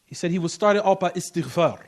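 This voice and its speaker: Male Islamic class teacher, notably high voice, emphatic informal lecturing with repetition and rhetorical check-ins. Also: fast talker. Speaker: religious teacher giving a class.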